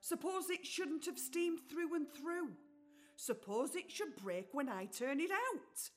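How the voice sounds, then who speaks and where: A woman's voice: high voice, lively intonation, measured pace. An actress playing a flustered, fretful mother of a poor family in a radio play. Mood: anxious. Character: fussing mother Mrs. Cratchit.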